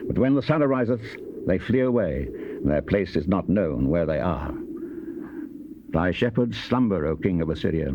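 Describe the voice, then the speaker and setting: A man's voice: on the low side, close to an everyday voice. A man acting a stern prophet who proclaims wrathful doom in a solemn, emphatic, declamatory dramatized scripture reading. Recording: dull cassette tape with loud noise.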